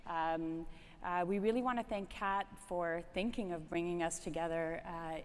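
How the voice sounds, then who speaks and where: A middle-aged woman from the Soviet Union speaking on a conference stage, pausing often with ums and uhs as she introduces her co-speaker. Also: lowish voice, fairly even intonation. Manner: hesitant, fills with many ums and uhs. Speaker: strategy lead co-presenting. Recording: hall reverb, faint ambient noise.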